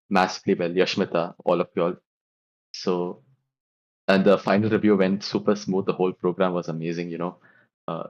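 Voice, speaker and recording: A man's voice: sits low, muffled recording. A young man closing an online club meeting, rambling and thankful with many fillers, over a video call. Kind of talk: casual closing remarks.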